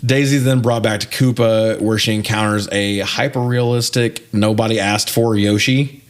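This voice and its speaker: A man's voice: lowish voice, steady tone, normal speed. A man co-hosting a podcast, casually recapping a film in an irreverent, wry way and swearing freely. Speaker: podcast co-host.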